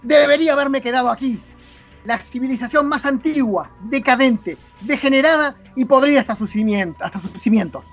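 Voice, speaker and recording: A man's voice: very high-pitched, brisk, fairly bright tone, pitched above the performer's own voice, full character voice. A man with an Argentine accent voicing a grumpy, indignant alien time-traveler in a declamatory manner. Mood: indignant, energetic. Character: grumpy male alien time-traveler denouncing decadent corrupt civilization. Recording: dull lo-fi sound over music.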